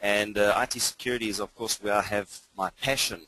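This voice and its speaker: A low-voiced man with an accent that blends British and South African into an international English. He presents a webinar informally and keenly, with frequent hesitations. The voice is rough-edged and the sound is very dry. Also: steady tone, brisk, sharp tone, no room sound, poor audio. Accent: mixed international English, hints of British and South African. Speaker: cybersecurity trainer.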